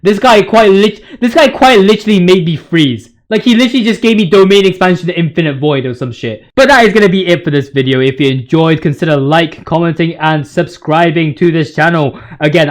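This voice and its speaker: A man's voice: high voice, brisk, few pauses. A man giving casual, opinionated gaming commentary as a video host, ranting in slang with emphatic repetition.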